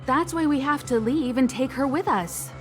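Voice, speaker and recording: A woman's voice: sits high, normal speed, soft background music, close to an everyday voice. A woman playing a composed, decisive space-crew leader in a video game, speaking with assurance and command. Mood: calm, assured.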